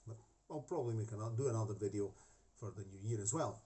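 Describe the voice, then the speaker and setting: A man's voice: fairly low pitch, fast, dark tone. A middle-aged man with a Scottish-tinged accent, chatting to camera from his hobby room with many restarts and asides. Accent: Scottish-tinged English. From half Scottish. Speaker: hobby model-making channel host. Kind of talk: casual chat to camera. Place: home hobby room with desk. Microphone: camera facing speaker.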